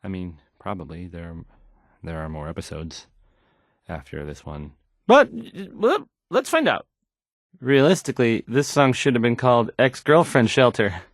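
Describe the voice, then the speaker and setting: A man's voice: fairly low pitch, sing-song, a little slow, dry recording, clean studio sound. An actor playing an awkward, self-doubting young male radio DJ in a game, hesitantly rambling through song introductions. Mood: awkward, unsure.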